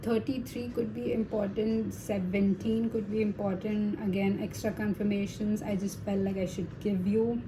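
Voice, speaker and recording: A woman's voice: medium pitch, flat delivery, normal speed, hardly any pauses, noisy background. A woman giving a casual tarot reading to viewers, talking in a chatty, reassuring way with many fillers and tag questions. Her first language is Hindi. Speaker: tarot reader.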